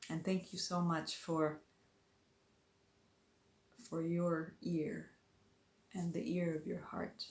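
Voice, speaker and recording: Slow, earnest woman from Poland. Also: low-pitched, echoey room. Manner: slow, earnest and gentle, with many repetitions and pauses. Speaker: speaker introducing a prayer. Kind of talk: heartfelt spoken address.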